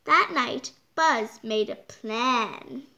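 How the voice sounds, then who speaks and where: A child reading a picture book aloud, halting and stumbling over words, with exclaimed side comments. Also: medium pitch, sharp tone. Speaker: storytime reader. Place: indoors, hands holding book before the camera.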